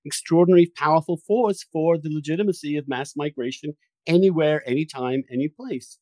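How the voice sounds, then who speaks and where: Male podcast host, mid-range voice, halting but emphatic political talk, close dry recording. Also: medium pitch, darkish tone, dry recording. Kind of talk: opinionated political discussion.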